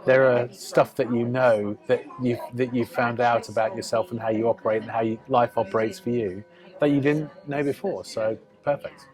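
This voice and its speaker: A man reflecting aloud as a client in conversation, haltingly and with repeated phrases. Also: mid-range voice, steady tone, a little mellow, low-quality recording. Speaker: client of the reading, author.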